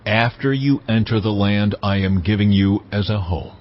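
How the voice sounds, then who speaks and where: An actor playing God as a stern, commanding lawgiver, delivering solemn decrees deliberately in a dramatized scripture reading. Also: male voice, lowish voice, muffled recording, natural voice. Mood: solemn, instructive. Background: background music.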